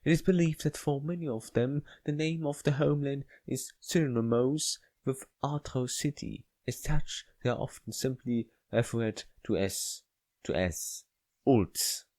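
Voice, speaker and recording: Man reading as a measured, slow-speaking teacher. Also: medium pitch, a little mellow, dry recording, pitched above the performer's own voice. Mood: careful, explanatory.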